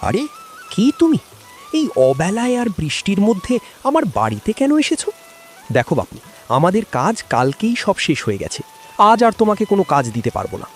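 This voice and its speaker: A man's voice: high voice, huge pitch swings, fairly quick, slight background noise. A man playing a condescending, tight-fisted village headman in a cartoon story, patronizing and dismissive. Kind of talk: dramatized cartoon story acting.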